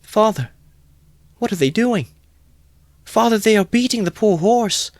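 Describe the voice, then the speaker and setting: A man's voice: expressive, measured pace, no echo. A man voicing a frightened little boy crying out to his father in a thin, strained voice. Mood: horrified pleading. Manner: high pleading cries.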